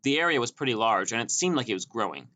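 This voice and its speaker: A man's voice: mid-range voice, very animated, fast, pushed and bright. A man reading a scripted first-person story as an audiobook narrator.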